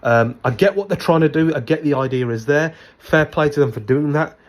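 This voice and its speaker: A man giving a hands-on spoken product review in a hesitant, repetitive, measured but opinionated way. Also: on the high side. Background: background noise and a radio.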